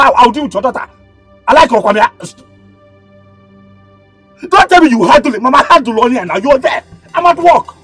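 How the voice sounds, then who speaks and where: A man's voice: very high-pitched, a bit bright, breathy edge, no echo, heavily acted. A Nigerian man acting a hot-tempered tricycle driver in a screen drama, indignant and repeating himself. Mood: stern.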